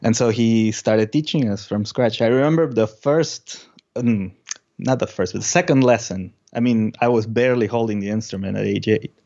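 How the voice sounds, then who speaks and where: A male musician from Peru who grew up speaking recounts things in a relaxed interview. His voice sits on the low side and he feels his way through sentences haltingly. The sound is a little dull and lo-fi. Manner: halting, thinking aloud. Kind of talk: casual interview storytelling.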